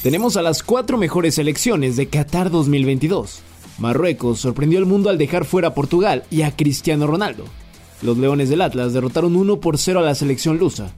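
A man's voice: a little high, faint music behind. Man reading sports news headlines. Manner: brisk, even headline delivery.

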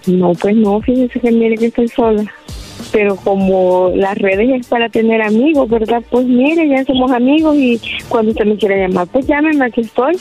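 A woman's voice: fairly high pitch, moderate pace, warm tone. A Salvadoran woman with a Salvadoran accent, polite and friendly, answering an unexpected phone call. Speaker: call recipient. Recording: phone call, dull sound, music under.

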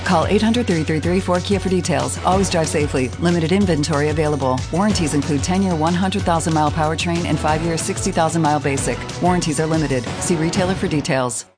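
A woman reading a rapid scripted disclaimer at the end of an advertisement. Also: low voice. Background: music.

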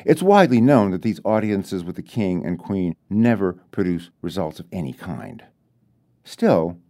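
A man reading his own literary fairy tale aloud in a measured, deliberate way, with dramatic pauses. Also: on the low side, warm tone. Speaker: author reading his own short story.

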